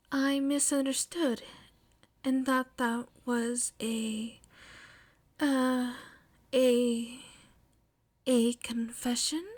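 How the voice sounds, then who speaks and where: A woman's voice: fairly high pitch, darkish tone. A woman voicing a shy, flustered teenage girl in love, with hesitant delivery, as in an audiobook. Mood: hesitant, embarrassed. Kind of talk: audiobook character dialogue.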